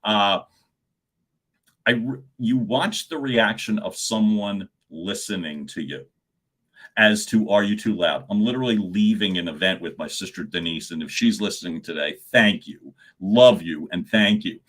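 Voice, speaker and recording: A middle-aged man with a loud voice, coaching on a live stream and swinging his pitch and volume as he talks to viewers. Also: measured pace. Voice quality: loud speaking voice. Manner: animated, swings pitch and volume, chuckles often.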